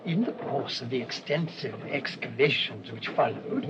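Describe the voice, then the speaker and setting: An actor playing a humble, earnest English parish priest in a radio play, mild, hesitant and formally wordy. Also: male voice, mid-range voice, normal speed, lightly acted. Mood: earnest, storytelling. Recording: dull, noisy old radio recording.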